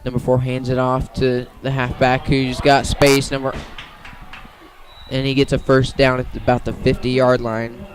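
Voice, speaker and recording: Male American football commentator, very flat intonation, mid-pitched, halting and self-correcting as he calls live plays. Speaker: play-by-play commentator. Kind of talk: live radio football play-by-play.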